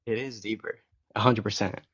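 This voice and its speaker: A young man speaking English as a second language, with Spanish as his first, talks bluntly and rambles through restarts in a live-stream debate. His voice sits fairly low. The call audio is dry and dull, with a lo-fi muffled band. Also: fairly even intonation, fairly quick, fairly bright tone, slightly rough, no echo. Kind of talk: casual argumentative chat.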